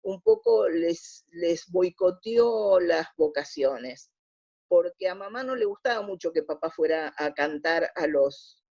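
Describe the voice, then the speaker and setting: A woman's voice: on the low side, very animated, slow pace, gravelly, mellow, husky. Older Buenos Aires woman, dull lo-fi recording. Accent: Buenos Aires Spanish. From Argentina. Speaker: interview guest. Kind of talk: conversational reminiscing in an interview. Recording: dull lo-fi audio.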